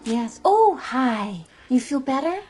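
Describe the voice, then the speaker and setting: A woman's voice: high-pitched, sing-song, darkish tone, husky, faint music behind, full character voice, poor audio. A kindly, put-upon shop clerk woman. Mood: friendly. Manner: conversational, halting, confiding. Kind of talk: radio drama acting.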